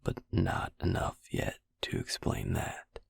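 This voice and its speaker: A man playing a protective, exasperated vampire in an intimate audio roleplay, speaking slowly and wryly in a low, breathy near-whisper. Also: dry recording, natural voice. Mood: wry, probing. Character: protective, exasperated male vampire tending a wounded werewolf. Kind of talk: intimate audio roleplay acting, addressed to the listener.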